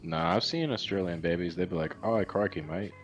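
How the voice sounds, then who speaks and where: A man's voice: deep voice, fairly quick, dull audio, soft background music. A young man from New York, trading dry wisecracks in casual podcast chat. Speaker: podcast co-host.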